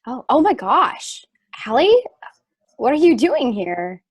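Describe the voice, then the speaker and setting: Woman acting as lively, friendly young wife. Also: high voice, takes it slowly, breathy edge, heavily acted. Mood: pleased, friendly. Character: unsuspecting young wife, warm, friendly homemaker. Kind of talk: table reading of a stage play.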